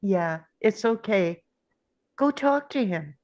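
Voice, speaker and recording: Woman reading as a slow-paced, gentle mother. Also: mid-range voice, animated. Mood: tender, reassuring. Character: gentle, candid young mother. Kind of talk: informal screenplay table read.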